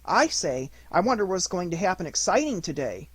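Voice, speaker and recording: A man's voice: high voice, sing-song, a little fast, a bit bright, slightly rough, no room sound, voice pushed up, heavily acted. A man voicing a small, timid, curious piglet in a storybook reading, with an earnest, childlike manner. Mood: thoughtful, eager.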